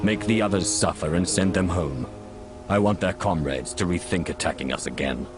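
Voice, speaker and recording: A ruthless, commanding Sith lord from a sci-fi game, acted by a man. His voice is low and he speaks with measured, cold authority over prominent music. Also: lightly acted. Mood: cold menace. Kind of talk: video game cutscene acting.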